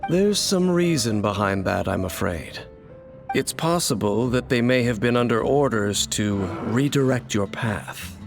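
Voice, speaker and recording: A man playing a brooding, mysterious wanderer in a video game, speaking in a grave, measured, formal way. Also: mid-range voice, lively intonation, gravelly. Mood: guarded, pensive. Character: brooding, mysterious male wanderer opposed to dark forces. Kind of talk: dramatic video game dialogue. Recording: game music behind.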